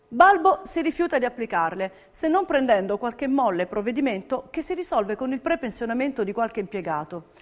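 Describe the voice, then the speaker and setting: A woman's voice: a little high, big pitch swings, fairly quick, hardly any pauses. A woman narrator. She reads a scripted stage text in a declamatory, emphatic way, as in documentary theatre.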